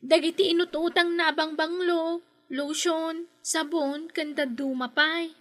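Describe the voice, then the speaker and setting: Casting: woman plays courteous young Ilocano debt collector, extremely high, bright voice, comic radio drama. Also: fairly even intonation, a little slow. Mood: polite. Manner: polite, deferential.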